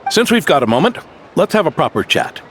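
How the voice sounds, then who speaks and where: An actor playing a wry, probing old veteran knight captain in a game. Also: male voice, a little high, very animated, a little fast, fairly bright tone, slight background noise. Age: older.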